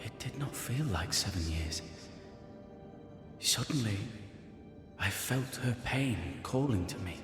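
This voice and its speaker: An actor playing a grave, protective ghost husband in a video game, speaking formally and solemnly. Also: male voice, lowish voice, lively intonation, takes it slowly, long pauses. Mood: devoted. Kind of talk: video game cutscene acting. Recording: echoing hall-like reverb, music behind.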